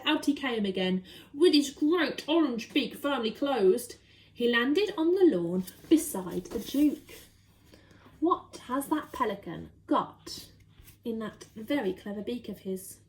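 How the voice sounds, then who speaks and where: A woman reading a children's story aloud expressively, with dramatic, deliberate emphasis. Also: high-pitched, expressive, rough recording.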